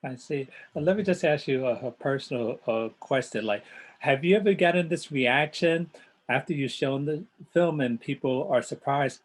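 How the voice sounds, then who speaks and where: A man's voice: mid-range voice, normal speed, rough recording. A man moderating a festival Q&A, putting questions in hesitant, stop-and-start phrases. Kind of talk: interview questioning.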